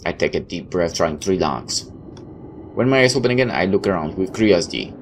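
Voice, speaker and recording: A man's voice: sits low, animated, fairly quick. A man reading a visual novel aloud like a dramatic storyteller, tossing in his own asides. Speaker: let's player. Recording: clear background noise.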